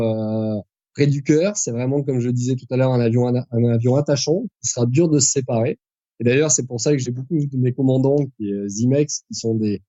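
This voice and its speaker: A man's voice: medium pitch, dark tone, dry recording, lo-fi sound. A man in his forties, a pilot guest on a podcast, explaining at length with frequent hesitations and restarts. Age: 40s. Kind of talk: conversational podcast interview.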